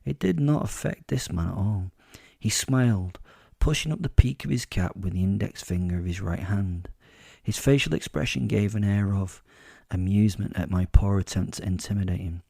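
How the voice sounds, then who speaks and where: A man with a low, dark voice narrates a horror audio drama as a wry werewolf telling his own life. His delivery is measured, with dramatic pauses and a boastful edge. The sound is very dry and close. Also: creaky, dry recording. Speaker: narrator and host of a fiction podcast. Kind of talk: first-person dramatic audio story narration.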